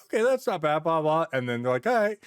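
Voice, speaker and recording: A man's voice: fairly high pitch, big pitch swings, fast talker, clear, resonant tone, no echo, clean studio sound. A man co-hosting a podcast, chatting casually and bluntly with plenty of swearing and repeated words. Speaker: podcast co-host. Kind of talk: casual chat, anecdotes and opinions.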